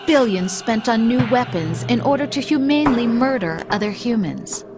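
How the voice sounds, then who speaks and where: An actress playing a calm, coldly persuasive female machine intelligence in a video game, speaking softly with a mocking edge. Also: on the high side, moderate pace, lightly acted. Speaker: game character. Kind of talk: video game cutscene voice acting. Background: dramatic music score.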